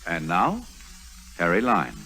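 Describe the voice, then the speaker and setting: A man's voice: low voice, wildly swooping pitch, slow. A man announcing an old radio drama in 1950s broadcast style. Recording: old radio recording with loud music.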